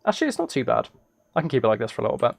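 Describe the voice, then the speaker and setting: A man chatting casually on a gaming livestream, rambling haltingly with playful, mock-serious dry humour. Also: medium pitch, wildly swooping pitch, gravelly, a little hiss or hum. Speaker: live streamer.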